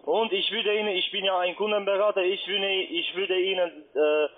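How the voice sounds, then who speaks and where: Over a thin, band-limited phone connection comes a high-voiced man with a foreign accent. He works as a call centre agent, pitching off the cuff in non-native speech. He is insistent and halting, often repeating his words. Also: steady tone, narrow, tinny audio, slight background noise. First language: not German. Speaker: call centre agent posing as customer adviser. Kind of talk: unscripted phone sales pitch.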